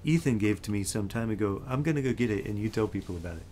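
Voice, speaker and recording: A middle-aged man hosting a casual chat in his home basement studio, speaking in a relaxed, hesitant way with frequent ums. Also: on the low side, fast, slight background noise. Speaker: host musician. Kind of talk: casual video intro and chat.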